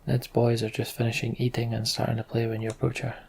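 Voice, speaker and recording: A man's voice: mid-range voice, completely flat delivery, quick pace, gravelly, darkish tone, slight background noise. A man giving casual game commentary and reading story text aloud as a let's play host.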